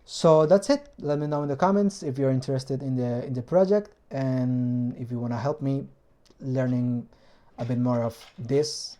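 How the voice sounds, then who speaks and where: A man with a Spanish accent, Spanish as his first language, casually explaining a hobby engine build in a vlog and often pausing to find words in his second language. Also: mid-range voice, dark tone.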